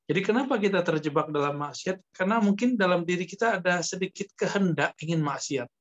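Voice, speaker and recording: A man giving a conversational Islamic lecture as a preacher, explaining in a halting way with pauses. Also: sits high. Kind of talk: religious lecture teaching from a book, conversational. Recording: dull lo-fi lecture recording.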